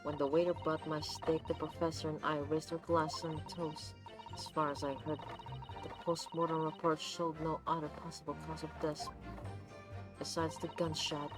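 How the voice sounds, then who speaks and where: A woman voicing a haughty, condescending young English gentlewoman while reading game dialogue aloud. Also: low-pitched, close to an everyday voice. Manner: pompous, looks down on others. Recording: game music behind voice.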